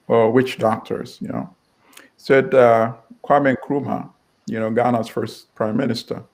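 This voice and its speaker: Middle-aged man from Uganda, publisher as interview guest; gravelly, measured, wry delivery. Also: mid-range voice, darkish tone. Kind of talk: storytelling interview answer.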